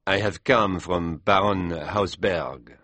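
A man voicing a polite old French gentleman with a French accent, in a storybook reading. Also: deep voice, slow pace, no room sound, pitched below the performer's own voice, exaggerated voice. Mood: courteous. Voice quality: polite, formal.

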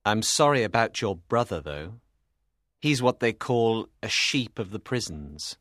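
An actor playing a cool young lawyer with quiet, smooth menace in a dramatised audiobook. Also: male voice, fairly low pitch, sing-song, unhurried, dry recording, clean studio sound. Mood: quiet, assured.

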